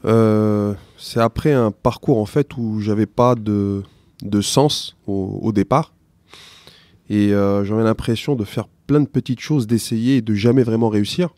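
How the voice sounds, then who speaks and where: A middle-aged man with a low, warm voice answers interview questions slowly, often restarting and searching for words. French is not his first language. The sound is dry and close. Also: no room sound. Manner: slow, hesitant, with many restarts. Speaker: interview guest, teacher.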